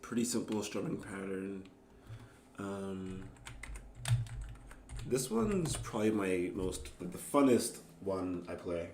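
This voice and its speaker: A man's voice: sits low, slow, long pauses, a little mellow, echoey room, slight background noise. A man chatting casually and informally as an amateur musician, rambling in a self-deprecating way. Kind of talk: casual, rambling chat between songs.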